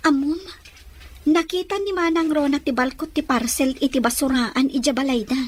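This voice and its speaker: A woman's voice: high-pitched, normal speed, close to an everyday voice. A woman playing a sincere, loyal young sweetheart in a radio drama. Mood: tender. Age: young adult.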